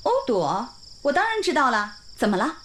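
A woman's voice: on the high side, sing-song, moderate pace, a bit bright, slight background noise. An actress playing a friendly, caring woman who teaches at a village school, in a radio drama. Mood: explaining warmly. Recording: music under the dialogue.

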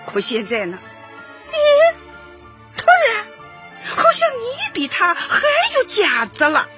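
High, slow, theatrical old granny. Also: female voice, wildly swooping pitch, sharp tone, full character voice. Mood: theatrical, lamenting. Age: older. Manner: drawn-out, theatrical sighing and interjections. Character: chatty, knowing old granny neighbour, playful amateur analyst. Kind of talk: light comedy radio drama acting. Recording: dull lo-fi audio, faint music.

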